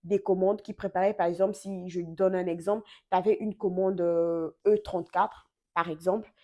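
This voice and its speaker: A young woman vlogging casually, telling a story with many fillers and quick self-corrections; French is not her first language. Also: on the low side, steady tone, a little mellow, lo-fi sound.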